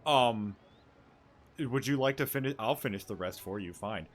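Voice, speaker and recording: A man's voice: medium pitch, normal speed. A young man giving casual, joking, offhand commentary as he plays, with game music behind him. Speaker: gaming streamer. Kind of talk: casual reaction commentary over gameplay.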